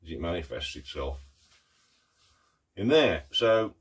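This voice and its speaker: Middle-aged man vlogging, very low husky voice with a croak from a cough, halting and earnest with many pauses. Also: big pitch swings. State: hoarse with a cough. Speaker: artist vlogger. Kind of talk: casual talk to camera.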